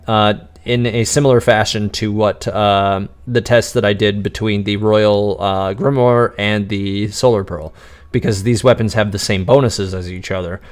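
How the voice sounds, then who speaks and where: A man hosting a live-stream Q&A, answering chat questions in an exuberant, radio-like way and enunciating words crisply despite quick restarts. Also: fairly low pitch, steady tone. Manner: talks fast with frequent restarts and laughs.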